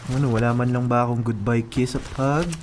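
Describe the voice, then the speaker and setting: A man's voice: mid-range voice, steady tone, fairly quick, a little mellow, slight background noise, close to an everyday voice. A man playing a teasing, clingy young boyfriend in a radio drama, whining playfully and exaggerating his mock politeness. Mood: sulky, pleading. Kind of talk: melodramatic radio drama dialogue in casual Taglish. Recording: music behind the voice.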